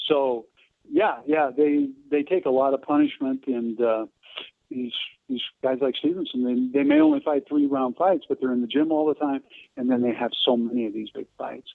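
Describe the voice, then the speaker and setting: Older American man, gravelly creak, phone-like sound, halting, digressive interview storytelling. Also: medium pitch, no echo. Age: around 70. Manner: halting, digressive, pausing mid-sentence. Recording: narrow phone-like line.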